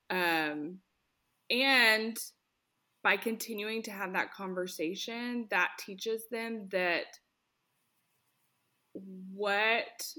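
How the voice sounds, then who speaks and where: A woman speech therapist talking in an earnest, expressive way, often acting out examples as quotes. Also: mid-range voice. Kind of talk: conversational interview.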